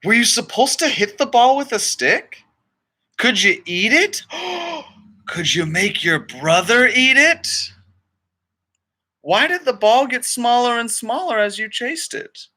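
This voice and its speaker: A man's voice: extremely high pitch, very animated, takes it slowly, pushed and bright, voice pushed up, exaggerated voice. A man voicing curious young grandchildren in a storybook, asking eager, inquisitive questions. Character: curious young grandchildren wondering about knitting.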